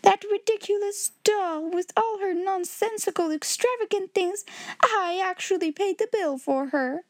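A woman voicing a cold, domineering schoolmistress with a sharp, scolding manner, in a storybook reading. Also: high voice, unhurried, dry recording, voice pushed up. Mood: indignant.

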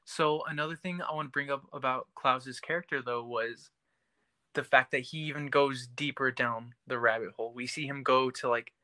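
Bright-voiced man in casual podcast chat. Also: medium pitch, fairly even intonation, moderate pace, no room sound. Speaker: podcast co-host. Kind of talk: casual chat about a TV series.

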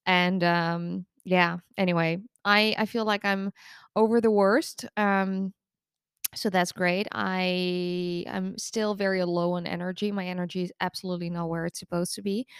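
A woman's voice: fairly low pitch, smooth, no room sound, clean studio sound. A woman in her thirties hosting her own podcast as a recovery coach, talking in a candid, chatty way while congested from the flu. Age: 30s. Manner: candid, chatty and self-deprecating. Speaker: podcast host and eating disorder recovery coach. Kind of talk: casual solo podcast monologue.

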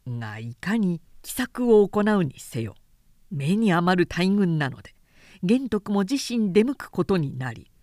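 A woman narrator reading a literary work aloud as an expressive storyteller. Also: mid-range voice, no room sound, clean studio sound. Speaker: narrator, reader.

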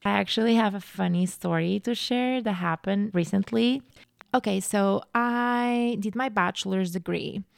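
A young woman talks earnestly and reflectively, as a podcast host sharing her thoughts. Her voice carries a gravelly fry at the ends of phrases. The sound is close and dry, with no room around it. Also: mid-range voice, measured pace, dry recording. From Portugal. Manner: earnest, animated when telling a story. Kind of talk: casual reflective monologue.

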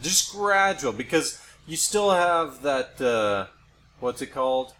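A man's voice: mid-range voice, animated, slow, a bit bright. A middle-aged American man co-hosting a podcast in casual, speculative conversation. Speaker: podcast co-host. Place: home studio. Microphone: podcast microphone. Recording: clearly noisy amateur podcast recording.

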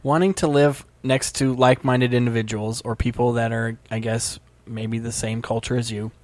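A man's voice: medium pitch, level tone, normal speed, a little mellow, dry recording. A young man in casual chat, easygoing, who acts out the voices he quotes.

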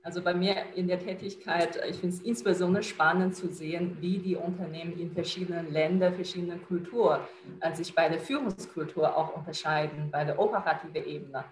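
A woman's voice: fairly low pitch, fairly even intonation, brisk, reverberant, poor audio. A woman from China speaking German with a Chinese accent, a business consultant talking hesitantly with many fillers. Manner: hesitant, many fillers, non-native grammar. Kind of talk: conversational interview answer.

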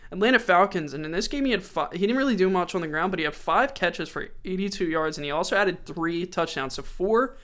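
A man's voice: sits high, expressive, brisk, hardly any pauses, fairly bright tone. A man with a stuffy, sore-throated voice hosting a casual sports podcast, running through game stats conversationally. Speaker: podcast host.

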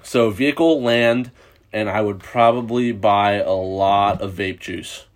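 A young American man in casual chat, talking in a halting, rambling way with some swearing. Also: lowish voice, steady tone. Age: young adult.

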